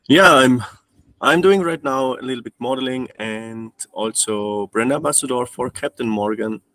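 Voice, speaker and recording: A man, pausing and restarting as he searches for words. His voice sits at an ordinary middle pitch. The recording is dry and close. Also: fairly bright tone, no echo. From Austria. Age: 30s. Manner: hesitant, restarts often, non-native phrasing. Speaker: guest trading student. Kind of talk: casual interview.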